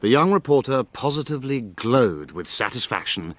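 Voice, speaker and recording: An actor playing an urbane gentleman who narrates his friend's cases in a radio drama, measured and literary. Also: medium pitch, very animated, no echo. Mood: reflective.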